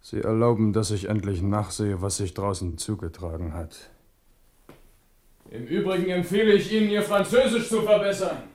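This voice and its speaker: A man's voice: lowish voice, lively intonation, moderate pace, a little mellow. An actor in a German radio drama playing a proud, impulsive, war-weary king. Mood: impatient.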